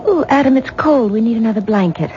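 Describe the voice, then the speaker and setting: An actress playing an anxious, distraught young wife in a 1970s radio drama. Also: female voice, fairly high pitch, animated, fast, a little mellow, lightly acted. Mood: pleading, alarmed. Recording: dull 1970s radio recording, noisy.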